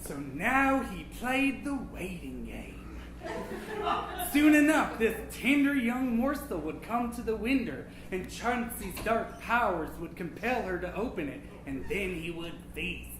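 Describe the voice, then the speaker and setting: A man's voice: high voice, sing-song, measured pace. A man voicing a vain, absent-minded old vampire, reading a comic storybook aloud in a melodramatic, pompous, campy manner. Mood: menacing, theatrical. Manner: melodramatic, pompous, campy, hushed then suddenly loud. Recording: echoey room, noisy live recording.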